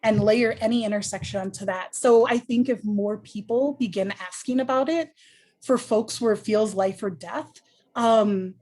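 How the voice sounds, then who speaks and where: A woman's voice: on the high side, normal speed, darkish tone. A woman on a panel, answering a question in a thoughtful, earnest way with frequent ums and restarts.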